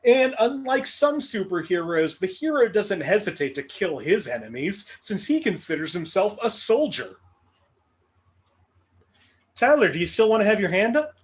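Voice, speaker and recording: A man's voice: high-pitched, very animated, moderate pace. A man with a Midwestern accent hosting a trivia game, reading clues with playful, dry humor. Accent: Midwestern American. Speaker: game show host.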